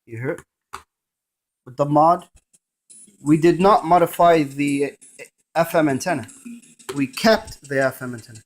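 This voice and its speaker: A man's voice: a little high, a little slow, pauses often, poor audio. A man presenting a casual hands-on gadget demonstration, narrating each step in short fragments.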